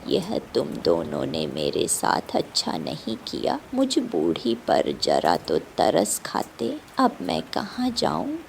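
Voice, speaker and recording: A woman voicing a stubborn, scornful old mother-in-law bird with a creaky elderly voice in a cartoon. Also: extremely low pitch, wildly swooping pitch, slow pace, hardly any pauses, a little mellow, a little hiss or hum, full character voice. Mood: pitiful.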